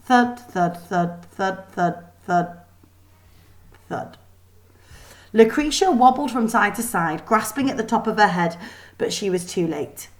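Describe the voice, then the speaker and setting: A woman reading a children's story aloud in an expressive, deliberate way with dramatic emphasis. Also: medium pitch. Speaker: teacher reading a book aloud.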